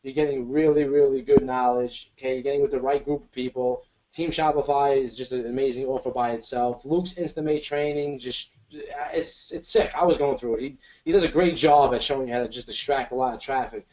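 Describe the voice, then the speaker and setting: A man co-presenting an online webinar, pitching hard in casual, slangy bro talk over a video call. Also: steady tone, a little fast, few pauses, darkish tone, muffled recording. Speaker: co-presenter and marketer.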